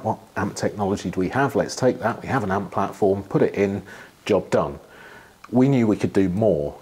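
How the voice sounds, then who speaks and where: Middle aged guy w a low husky voice, engineer explaining tech stuff in an interview, kinda thinks as he goes. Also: a little mellow. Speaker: loudspeaker engineer being interviewed. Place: living room with wood panelling and large window.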